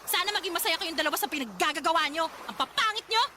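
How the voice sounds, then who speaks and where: Very high-pitched, indignant Filipina. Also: female voice, lively intonation, fast, noisy background. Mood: furious, sarcastic. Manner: confrontational, sarcastic, emphatic. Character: hurt, indignant young woman confronting her two-timing date. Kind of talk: Tagalog radio drama acting.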